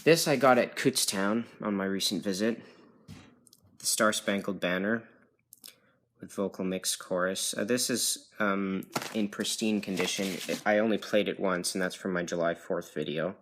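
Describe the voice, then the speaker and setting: Male hobbyist collector, low register, hesitant off-the-cuff delivery, slightly noisy handheld phone sound. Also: normal speed, fairly bright tone. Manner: hesitant, unsure, self-correcting. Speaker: record collector showing his collection. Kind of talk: casual rambling show-and-tell. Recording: noisy amateur phone video with clicks.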